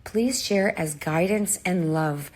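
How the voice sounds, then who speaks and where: Hoarse woman, low-pitched and unhurried, calm reassuring self-help vlogger. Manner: slow, measured, reassuring advice. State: hoarse, losing her voice. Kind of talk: spiritual guidance monologue.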